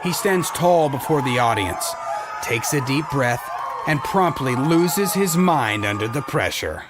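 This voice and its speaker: Male story narrator over loud music. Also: mid-range voice, animated, unhurried, a loud crowd.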